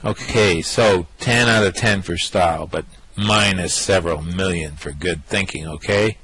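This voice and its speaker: A man plays an exasperated space fugitive in a radio play. His voice is fairly low and a little husky, with an impatient, sarcastic edge. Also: unhurried, fairly bright tone, exaggerated voice, low-quality recording. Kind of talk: amateur radio play acting.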